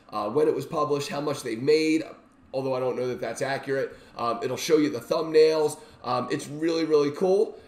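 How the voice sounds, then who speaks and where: A middle-aged man with a voice worn by illness gives an informal, enthusiastic software walkthrough as he shares his screen. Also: medium pitch. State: sick, recovering from a lost voice. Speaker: software reviewer and demonstrator. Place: small indoor home room. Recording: roomy, echoey home-room sound.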